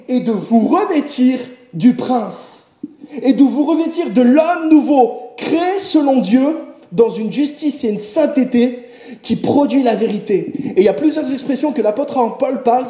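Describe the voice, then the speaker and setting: High-voiced man preaching in an echoey room. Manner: animated preaching, addresses the congregation directly. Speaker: pastor. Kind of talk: sermon.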